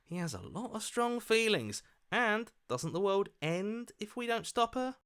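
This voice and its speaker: A man's voice: high voice, big pitch swings, unhurried, fairly bright tone, clean tone, full character voice. An actor playing an earnest, doubtful everyman hero in a video game, questioning and hesitant as he reasons aloud. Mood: wary, incredulous.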